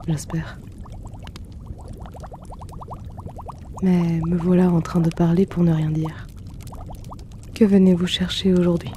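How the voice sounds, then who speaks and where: Casting: male actor for courteous potion-shop keeper, ASMR roleplay. Warm and mellow, flat gentle delivery, softly teasing, unhurried. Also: on the high side, a little hiss or hum, lightly acted. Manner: polite, soft-spoken, unhurried, gently teasing. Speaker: roleplay audio performer.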